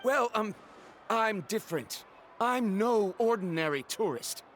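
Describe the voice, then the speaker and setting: An actor playing an earnest, determined young traveler in a video game, speaking in a formal, resolute way. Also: male voice, high-pitched, animated, measured pace, a bit bright, a little hiss or hum. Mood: flustered.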